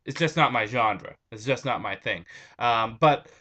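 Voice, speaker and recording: A young man chatting casually on his home vlog, his voice a bit raspy and stuffed up from allergies, rambling with restarts. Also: medium pitch, a little slow, sharp tone. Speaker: vlogger.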